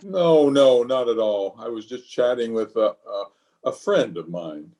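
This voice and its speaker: Guy doing flustered pompous middle aged radio sitcom boss, stammers and dodges, medium pitch. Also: animated, unhurried, mellow, close to an everyday voice. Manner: stammering, hedging, blustery. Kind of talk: old-time radio comedy acting.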